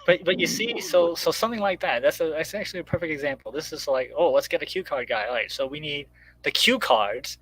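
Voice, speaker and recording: A man's voice: on the high side, brisk, a bit bright, poor audio. A man chatting casually as a member of a discussion panel. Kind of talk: casual chat.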